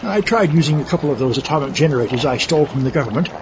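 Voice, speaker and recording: High-pitched theatrical mad scientist. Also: male voice, animated, racing, music in the background. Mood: boastful, scheming. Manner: pompous, flowery wording, exclamatory. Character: eccentric pompous mad scientist villain, theatrical and scheming. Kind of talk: comedic audio drama acting. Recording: muffled lo-fi audio over rumbling background noise.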